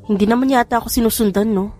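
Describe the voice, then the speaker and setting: A woman's voice: a little high, steady tone, racing, dark tone, close to an everyday voice. A woman with a Filipino accent voicing a young nurse and loving, firm-willed mother in a romance story, expressively. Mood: uneasy. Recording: music bed behind voice.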